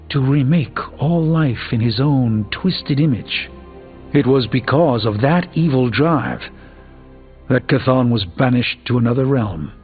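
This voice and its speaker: An actor playing a learned sorcerer mentor in a video game, speaking in a grave, measured, formal way. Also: male voice, mid-range voice. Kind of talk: video game character dialogue. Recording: dull band-limited game audio, music behind.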